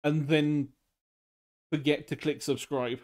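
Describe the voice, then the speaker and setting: A man's voice: on the high side, little pitch movement, slow, a little mellow. Man rambling through a drawing tutorial. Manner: rambling, loose explanations with many fillers. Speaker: streamer teaching drawing. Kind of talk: casual live-stream art tutorial.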